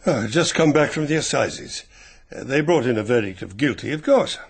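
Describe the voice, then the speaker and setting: Genial English gentleman for radio drama, gossipy and dry, gravelly voice with lively pitch movement. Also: a little fast. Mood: dry, chatty.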